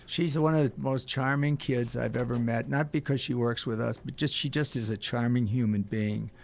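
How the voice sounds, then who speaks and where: Older guy hosting talk radio, gravelly voice, lots of uhs and restarts. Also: medium pitch, steady tone, moderate pace. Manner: rambling, hesitant with many fillers. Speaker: radio talk show host. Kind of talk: casual radio talk, rambling intro.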